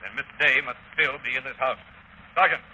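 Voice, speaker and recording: An actor playing a brisk, authoritative, clipped police commissioner in a 1930s radio drama. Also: male voice, mid-range voice, pushed and bright. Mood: stern questioning. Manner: clipped, interrogating. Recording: muffled, hissy 1930s radio recording.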